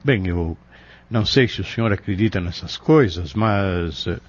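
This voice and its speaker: A Brazilian man playing a calm, reserved pharmacist in an audio drama, polite and measured with gentle authority. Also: sits low. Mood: confidential, hesitant. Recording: dull lo-fi audio.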